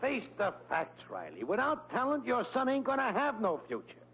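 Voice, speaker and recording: A man's voice: extremely high pitch, huge pitch swings, normal speed, exaggerated voice. An actor playing a smug, boastful middle-aged American neighbor and proud father, gloating and needling in a 1940s radio comedy. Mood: mocking, needling. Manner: gloating, mocking. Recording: muffled old-time radio recording with hum.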